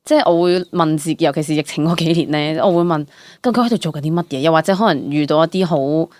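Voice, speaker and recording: A young woman speaks in a lowish voice with a Hong Kong Cantonese accent, recorded dry and close. She chats candidly as a guest, telling things expressively with exclamations and the odd English word. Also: quick pace, dry recording. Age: young adult. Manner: animated storytelling, exclamations, mixes in English words. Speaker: interview guest, stage actor. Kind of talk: casual candid chat.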